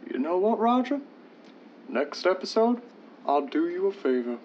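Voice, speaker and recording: Guy doing an old timey radio writer slash announcer, glib, high voice, dull recording. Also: very animated, measured pace, slight background noise. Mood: breezy. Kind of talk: old-time radio drama acting. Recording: dull lo-fi sound with music.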